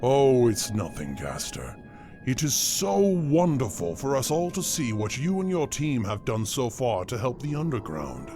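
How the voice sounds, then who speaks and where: A man's voice: medium pitch, wildly swooping pitch, unhurried, dark tone, soft background music. Man playing a gracious monster king, swooping delivery. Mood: gracious, impressed. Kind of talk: fan comic dub voice acting.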